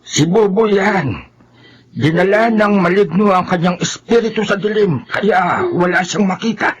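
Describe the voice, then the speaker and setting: A man's voice: sits high, unhurried, breathy edge, highs cut off. A man playing a stern spirit healer who battles evil in a horror radio drama, declaiming intensely. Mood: urgent, grave.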